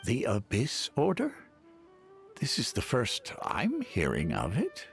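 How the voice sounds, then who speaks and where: A man's voice: medium pitch, wildly swooping pitch, slow, gravelly, soft background music, full character voice. A man voicing a courteous, hesitant and bewildered elderly villager in a video game. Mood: polite. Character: polite, bewildered elderly male villager, a grandfather.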